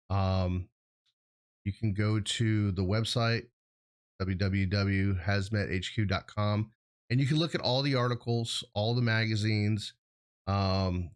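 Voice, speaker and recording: A man's voice: on the low side, smooth. A middle-aged man hosting a show in casual chat, rambling a little with a jokey, hesitant delivery. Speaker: show host.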